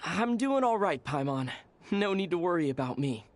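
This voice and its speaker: A woman playing a calm, steady, reassuring young traveler hero in a video game. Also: fairly low pitch, moderate pace, slight background noise. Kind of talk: video game character dialogue.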